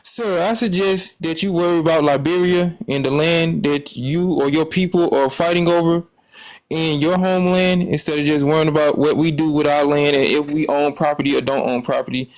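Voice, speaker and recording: A man's voice: a little high, fairly even intonation, normal speed, a little mellow, low-quality recording. Taunting, combative man on a debate panel. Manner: taunting, combative, mocking threats. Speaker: panel speaker. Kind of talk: heated live debate panel.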